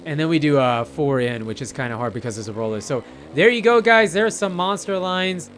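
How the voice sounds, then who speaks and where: A man's voice: a little high, expressive, a little fast, fairly bright tone, slight background noise. A man giving casual, rambling gameplay commentary as a video creator, often repeating phrases for emphasis. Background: racing game vehicle engine sounds.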